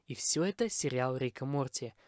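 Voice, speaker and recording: A man's voice: mid-range voice, lively intonation, normal speed, a little mellow, no echo, clean studio sound. A man from Kazakhstan hosting a podcast, delivering a scripted review monologue. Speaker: podcast host.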